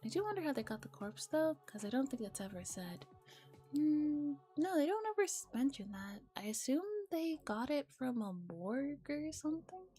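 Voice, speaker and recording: A soft-spoken woman streamer reading a visual novel aloud in an ASMR style, often dropping into whispers and adding casual comments. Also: sits high, very animated, moderate pace, soft background music.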